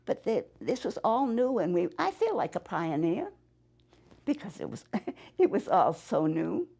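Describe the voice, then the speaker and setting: A woman in her nineties speaks with a New England accent, her voice low, gravelly and husky. She rambles through her memories in a halting way, restarting her sentences, her pitch swinging widely. The tone is dark and mellow. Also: big pitch swings. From Massachusetts. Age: 90s. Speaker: interviewee, war veteran. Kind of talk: oral history interview.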